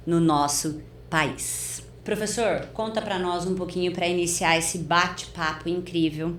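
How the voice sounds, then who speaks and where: A woman with a Brazilian accent and a lowish voice hosts a podcast interview. She speaks effusively, with plenty of emphasis. The sound is roomy and echoing, with a faint noise behind her. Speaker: interview host. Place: recording studio.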